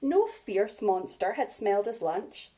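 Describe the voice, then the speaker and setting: A woman speaking as a primary school teacher, addressing and reading to young children in simple, expressive language. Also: medium pitch, measured pace. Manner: simple, child-directed delivery.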